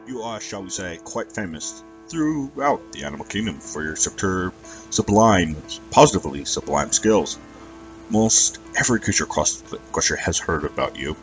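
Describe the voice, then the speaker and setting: Man playing a storybook dragon, British accent, genteel, over-polite, pompous, low voice, light music. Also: lively intonation, normal speed, rough recording. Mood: genteel, grateful. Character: large, polite, genteel male dragon, grateful and flowery.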